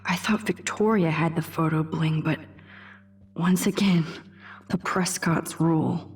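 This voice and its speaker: An introspective American teenage girl sleuth in a video game, speaks her thoughts aloud in a hushed, breathy murmur. Her voice sits slightly low and moves at an unhurried, slow pace, wry and observant. Music plays underneath. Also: steady tone. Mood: pensive, sardonic. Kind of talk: game character thinking aloud.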